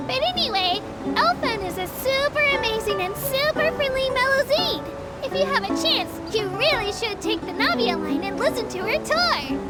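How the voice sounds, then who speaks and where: A woman's voice: extremely high pitch, animated, normal speed, loud music behind the voice. A woman voicing a small childlike female creature who works as a polite, eager, bashful tour guide in a video game. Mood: cheerful, informative. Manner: polite, eager, self-deprecating, easily flustered.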